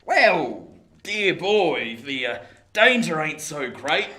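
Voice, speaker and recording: Rough, bright-voiced ex-convict. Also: male voice, on the high side, big pitch swings, slow, exaggerated voice. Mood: fond, proud. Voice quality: rustic, ungrammatical speech. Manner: proud, fond, blustering. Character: rough, devoted older male ex-convict returned from colonies. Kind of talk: radio play acting. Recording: roomy, echoing sound.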